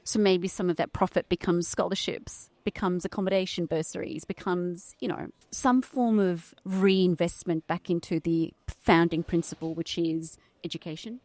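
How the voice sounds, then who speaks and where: Low-voiced thoughtful woman guest. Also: steady tone, normal speed, hardly any pauses, a little mellow, dry recording. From Sri Lanka. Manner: thoughtful, articulate, often tags "right?". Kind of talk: reflective podcast conversation. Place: conference venue.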